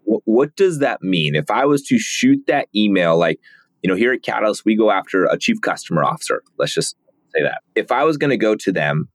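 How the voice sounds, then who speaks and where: A man's voice: on the low side, big pitch swings, brisk, no room sound. A man hosting the interview, curiously asking follow-up questions as he takes notes. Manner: curious, note-taking, asks follow-ups. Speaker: podcast host.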